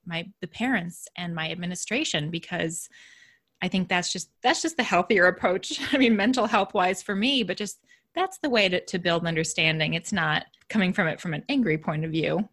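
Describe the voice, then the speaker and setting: A woman who teaches elementary music, talking reflectively in a casual interview, soft-spoken and thinking aloud. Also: fairly low pitch. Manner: reflective, thinks aloud with restarts and light laughs.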